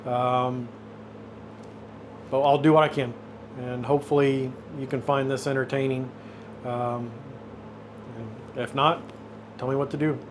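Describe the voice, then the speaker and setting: A man's voice: medium pitch, flat delivery, unhurried, long pauses. Man in his fifties, noisy recording. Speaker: host of a YouTube channel. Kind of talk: casual unscripted talk, intro and phone interview.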